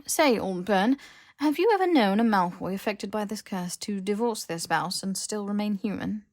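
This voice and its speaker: A woman voicing a brash, easily exasperated young man in an audiobook, casual, halting and sarcastic. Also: mid-range voice, animated, darkish tone, lightly acted. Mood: puzzled questioning.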